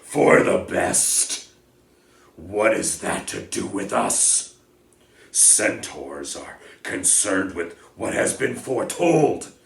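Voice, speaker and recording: A man voicing an angry, proud male centaur who thunders and scolds in a loud voice. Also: deep voice, sing-song, slow pace, creaky, far from the mic, heavily acted. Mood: scornful. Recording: echoey room.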